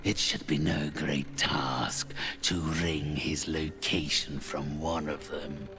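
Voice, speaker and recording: An actor playing a haughty, cold, mercenary necromancer in a fantasy game, speaking slowly with theatrical condescension. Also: male voice, extremely low pitch, flat delivery, music in the background. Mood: disdainful, menacing. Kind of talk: cinematic fantasy game dialogue.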